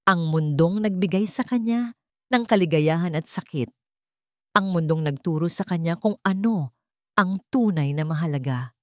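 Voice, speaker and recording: A woman reading a scripted romance story as narrator, in measured, sentimental short phrases. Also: on the low side, no room sound, studio quality.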